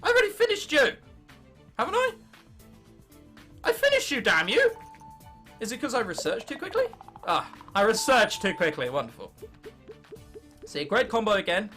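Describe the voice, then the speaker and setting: Excitable young English gamer guy, swooping pitch. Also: normal speed, pauses often, soft background music. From England. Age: late teens. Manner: excitable, sweary, self-mocking. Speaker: gaming video host. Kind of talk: gameplay commentary, thinking aloud.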